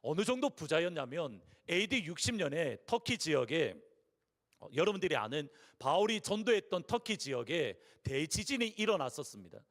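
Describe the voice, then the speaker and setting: A hoarse male pastor with a Busan accent delivers a Sunday sermon. His voice sits fairly high and swings widely in pitch as he tells stories and poses questions. It carries through the long reverb of a large church sanctuary. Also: big pitch swings, normal speed, fairly bright tone. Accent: Gyeongsang (Busan). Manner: earnest, storytelling preaching with rhetorical questions.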